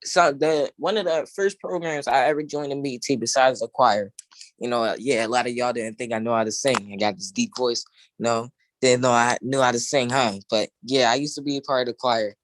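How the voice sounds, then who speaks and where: A young man, president of a youth council, speaking casually on a video call in an easygoing, halting way. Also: medium pitch, steady tone, no room sound. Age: young adult. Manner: easygoing, halting, with many fillers and restarts.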